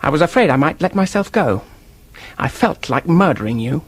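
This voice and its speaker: Earnest office clerk, rough husky voice, lively pitch movement, stammering, defensive, radio mystery. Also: male voice, moderate pace, no room sound. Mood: bitter, confessing. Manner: hesitant, stammering, blurting. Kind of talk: radio drama acting, detective mystery.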